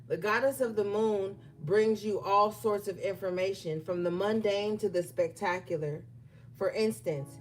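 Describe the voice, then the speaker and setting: A woman's voice: mid-range voice, slight background noise. A woman giving a collective tarot reading, reading card meanings aloud and then talking them through casually with her viewers. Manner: reads guidebook text aloud, then riffs conversationally with fillers. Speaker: tarot reader.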